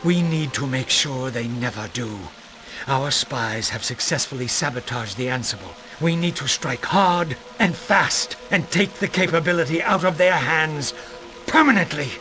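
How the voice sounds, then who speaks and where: Grave, resolute war leader, music behind. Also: male voice, on the high side, lively intonation, moderate pace, pushed and bright. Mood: rousing, resolute. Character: solemn, rousing male war leader addressing his brethren. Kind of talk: video game cutscene acting.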